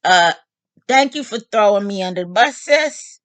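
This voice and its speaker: A woman in her late fifties talking openly to her audience in a casual, animated, joking monologue. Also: mid-range voice, normal speed, no room sound. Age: 50s. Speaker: vlog host.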